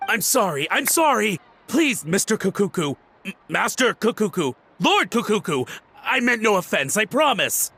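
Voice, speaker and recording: A man's voice: high voice, very animated, normal speed, pushed and bright, breathy edge, full character voice. An actor playing a nervous, fawning male antique collector in a video game cutscene, pleading and stammering.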